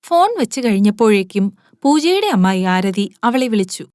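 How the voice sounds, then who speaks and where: A woman's voice: medium pitch, very animated, normal speed, darkish tone, no echo, clean studio sound. A woman delivering scripted narration for a moral bedtime story.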